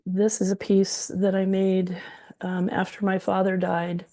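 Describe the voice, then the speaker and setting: A woman in her sixties with a hoarse voice from the flu, presenting her quilts slide by slide with frequent ums. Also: mid-range voice, completely flat delivery, a little slow, dark tone. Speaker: textile artist presenting her work.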